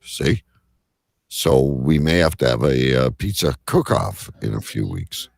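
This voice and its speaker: A man hosting a live show with casual banter and a rough, gravelly voice. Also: very deep voice, expressive, unhurried, darkish tone, no room sound, studio quality. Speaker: host of a live online show.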